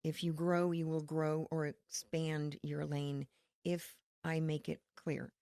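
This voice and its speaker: A woman with a low, slightly gravelly voice explains her ministry work in a steady, earnest way. She is from Connecticut and speaks with quiet faith and conviction. Her voice is recorded dry and close. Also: takes it slowly, no room sound. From Connecticut, USA. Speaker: ministry leader, guest. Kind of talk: podcast interview.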